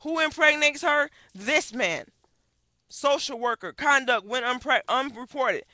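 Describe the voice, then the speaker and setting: High, bright-voiced indignant woman. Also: unhurried, no room sound. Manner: indignant, emphatic, rhetorical questions. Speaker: activist vlogger. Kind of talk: impassioned webcam commentary. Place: small wood-paneled room indoors. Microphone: headset on webcam.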